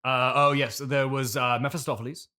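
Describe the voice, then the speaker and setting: Bright-voiced pompous stage actor played by a man. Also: medium pitch, level tone, fairly quick, natural voice. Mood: earnest, defensive. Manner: earnest, snobbish, hesitant and defensive. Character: pompous, touchy, classically trained male stage actor. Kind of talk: improvised comedy mock interview.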